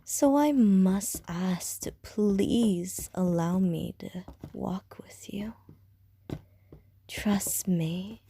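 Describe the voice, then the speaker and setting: A woman's voice: mid-range voice, drawn-out words, creaky, dark tone, a little hiss or hum. A woman voicing a flirtatious, predatory female vampire in an ASMR roleplay, teasing in sly, often whispered innuendo.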